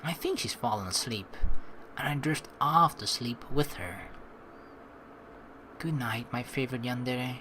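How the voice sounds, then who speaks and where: A young man hosting a game playthrough, reading narration aloud and adding playful, informal commentary in an expressive way. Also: medium pitch, measured pace, long pauses, slight background noise, poor audio. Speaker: let's play host. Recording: voice over game music.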